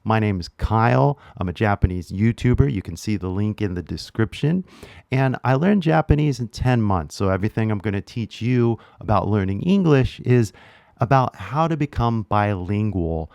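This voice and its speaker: A young man with a relaxed Californian accent talks through a lesson on the mic, easygoing and slangy, tossing in "right?" every few lines. His voice sits a little low and comes across smooth and resonant. The sound is dry and close. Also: moderate pace, no echo. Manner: laid-back, slangy, keeps asking "right?". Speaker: language teacher. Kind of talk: casual lesson to camera.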